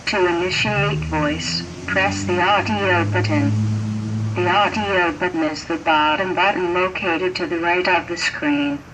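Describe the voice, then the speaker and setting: A synthetic, robotic female voice giving formal, flat automated instructions as a ticket machine prompt. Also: lowish voice, measured pace. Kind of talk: scripted automated audio instructions. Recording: noisy distant machine speaker.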